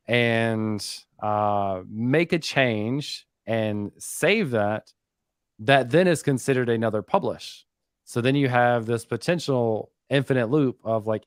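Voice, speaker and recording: A man's voice: mid-range voice, smooth, dry recording. Guy doing a laid-back coding demo, jokey about himself, dry close sound. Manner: self-deprecating, joking. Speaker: guest developer giving a demo. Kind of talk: casual technical walkthrough.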